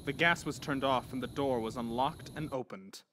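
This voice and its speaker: A man's voice: mid-range voice, normal speed, heavy background noise. A man narrating a horror story in an intense, theatrical manner.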